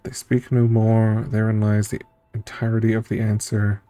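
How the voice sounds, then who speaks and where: Deep, mellow man reading over music. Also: monotone, normal speed, no echo. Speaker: streamer playing through a story game. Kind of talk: reading game text aloud with casual reactions. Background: game music.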